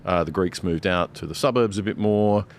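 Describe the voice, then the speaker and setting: A man's voice: sits low, normal speed, a little hiss or hum. A middle-aged Australian man, a broadcaster on a discussion panel, talking emphatically with many fillers and restarts.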